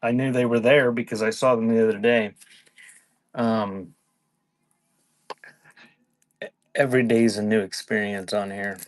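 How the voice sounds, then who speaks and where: A man speaks at a slow, unhurried pace in a casual live-auction style. His delivery is laid-back and conversational, and the sound is dry and close, with little room to it. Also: on the low side, steady tone, dry recording. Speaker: live auction seller and host.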